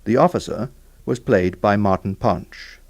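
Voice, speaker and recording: A man reading scripted credits as a radio drama host, in a measured, formal manner. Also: fairly low pitch, a little mellow, highs cut off.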